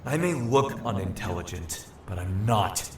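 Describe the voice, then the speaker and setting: An actor playing an earnest, wary young shapeshifting creature in an audio drama, thinking aloud in a naive, formal way. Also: male voice, deep voice, animated, measured pace, reverberant, far from the mic, very noisy. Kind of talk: audio drama acting with inner-thought narration.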